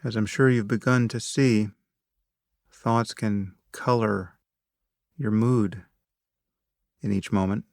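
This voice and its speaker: A man with a fairly low voice and a noticeable creak leads a guided meditation. He gives measured instructions in short phrases, leaving long pauses between them. The sound is dry and close, with little room around it. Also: fairly even intonation, no echo.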